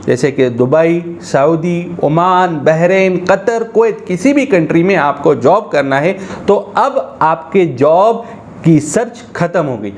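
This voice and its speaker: A man reading a brisk promotional announcement for a job agency, listing offers in a salesy way. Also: a little high, animated.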